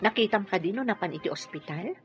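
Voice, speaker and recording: A woman's voice: medium pitch, lively intonation, quick pace, faint music behind, lightly acted. A woman playing a playful, curious young unmarried lady boss in a radio drama. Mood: curious, puzzled. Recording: dull lo-fi radio sound.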